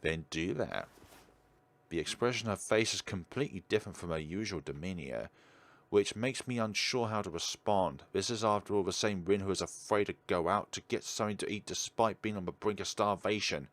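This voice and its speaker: A man's voice: low-pitched, fairly quick, fairly bright tone. A man hosting a let's play, reading game narration aloud and adding teasing, argumentative asides.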